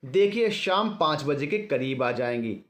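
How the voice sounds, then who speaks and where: A man's voice: mid-range voice, lively intonation, unhurried, a bit bright, reverberant. A man voicing a polite, matter-of-fact female nurse giving instructions in an audio story. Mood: instructing. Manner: courteous, instructing.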